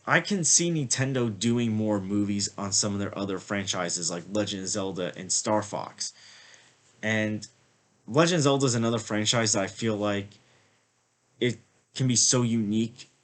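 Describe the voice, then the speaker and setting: A man's voice: fairly low pitch, normal speed. A man with a slight cold, sniffling a little, giving a rambling, casual movie review with lots of filler words. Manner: rambling, many "like" and "I feel like" fillers. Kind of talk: casual spoken film review.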